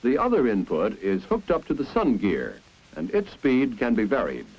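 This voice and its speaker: An American man reading scripted 1950s instructional film narration in a clear, deliberate, explanatory manner. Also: medium pitch, wildly swooping pitch, a little mellow. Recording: dull, band-limited 1950s film soundtrack.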